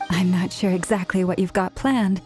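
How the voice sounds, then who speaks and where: A woman voicing a calm, perceptive, supportive ally in an anime game, composed and gently wry. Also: on the low side, normal speed, soft background music, natural voice.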